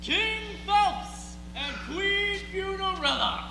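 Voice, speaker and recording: Young narrator, very high voice, stagey storytelling, echoey hall, music behind. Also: drawn-out words, bright, forceful tone. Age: young adult. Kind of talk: theatrical scripted storytelling.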